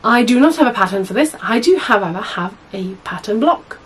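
Husky-voiced young woman with a cold, chatty sewing vlog at home, lots of ums, faint hiss behind. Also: on the high side, animated, fairly quick. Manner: chatty, rambling, many fillers and self-corrections. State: hoarse from a cold. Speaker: sewing vlogger. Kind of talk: casual chat to camera. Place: small indoor room at home.

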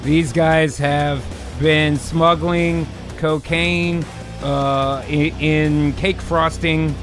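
A man's voice: on the high side, little pitch movement, drawn-out words. A man streaming from close on the mic, chatting casually and brainstorming, drawing out phrases as he types along. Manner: drawn out while typing aloud. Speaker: co-host and writer. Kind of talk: casual improvised brainstorming chat. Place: home streaming room. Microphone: close microphone on boom arm. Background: music.

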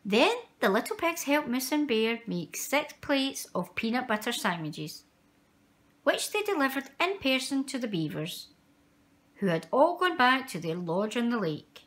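A woman reading a children's picture book aloud in an expressive, measured storytelling style with dramatic emphasis for young listeners. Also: on the high side.

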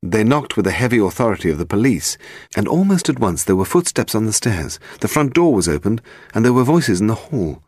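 British man, audiobook narration, low pitch, lively intonation, husky tone. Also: a little fast, no echo, clean studio sound. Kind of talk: scripted fiction narration.

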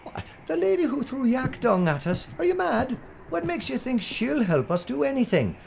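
A man's voice: very high-pitched, sing-song, normal speed, creaky, exaggerated voice. An actor playing an anxious, whiny, bumbling film crew man in an audio drama. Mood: exasperated. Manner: flustered, whiny, babbling. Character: anxious bumbling male film crew member, easily flustered. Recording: dull, noisy audio drama recording.

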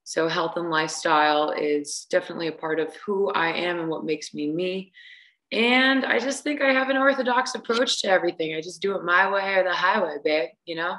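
A woman's voice: lowish voice, a little fast, fairly bright tone, low-quality recording. A young adult woman, a singer guest, chatting hesitantly with many restarts over a video call. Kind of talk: casual interview chat. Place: large room at home on video call.

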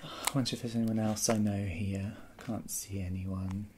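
A man in his thirties doing a soft-spoken ASMR roleplay as a rude, bitter actor, muttering in a world-weary, grumbling way. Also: lowish voice, level tone, moderate pace, background noise. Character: rude, bitter male actor signing autographs. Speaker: roleplay performer. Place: small indoor set at a desk.

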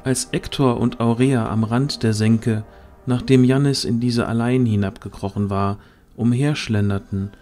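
A man's voice: medium pitch, steady tone, a little slow, darkish tone, soft background music. A man reading scripted narration for a fantasy audio drama.

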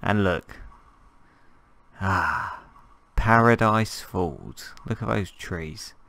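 A man with a croaky voice from a cold, chatting casually and jokingly as he plays and narrates a survival game. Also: low voice, lively intonation, drawn-out words, pushed and bright, no room sound. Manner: rambling, jokey, thinking aloud. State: hoarse from a cold. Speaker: gameplay commentator. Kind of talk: casual gameplay commentary.

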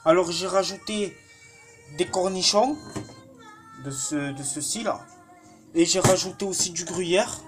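A man's voice: high voice, a little slow, pauses often, soft background music. A man in his thirties with a Marseille accent, rambling casually to a phone camera in his small home kitchen, full of fillers and restarts. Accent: Marseille French. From Marseille, France. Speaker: cooking vlogger. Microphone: phone camera held at arm's length.

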